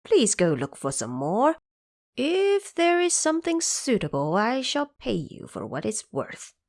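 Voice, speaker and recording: A cocky male monkey king from a storybook audio drama, played by a woman narrator. He is boastful and playful, with a lively voice that swoops and leaps upward on his eager lines. The pacing is unhurried and drawn out. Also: no room sound, studio quality, exaggerated voice. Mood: cheeky. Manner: boastful and playful, jumps high on excited words.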